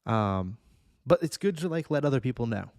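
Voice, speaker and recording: A man's voice: medium pitch, expressive, normal speed, a little mellow. A man hosting a casual podcast chat, bantering in slang with friends. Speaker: podcast host.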